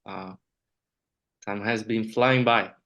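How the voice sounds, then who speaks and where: Low-voiced Honduran man, podcast guest. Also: steady tone, takes it slowly, fairly bright tone, dull audio. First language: Spanish. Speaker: podcast guest, nuclear trainer. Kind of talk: conversational interview answers.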